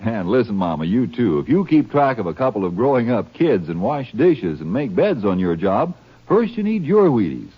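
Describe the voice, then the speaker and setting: A man reading an old-time radio commercial as an emphatic, folksy announcer with a husky voice. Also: fairly low pitch, big pitch swings, moderate pace. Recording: muffled old radio recording.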